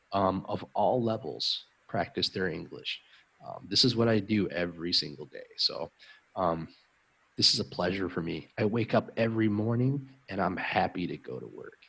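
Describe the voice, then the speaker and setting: A man's voice: low voice, vocal fry, a bit bright, breathy edge, rough recording. An American man hosting a live stream as an English tutor, chatting in a friendly, upbeat way with frequent ums. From United States. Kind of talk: casual live-stream chat.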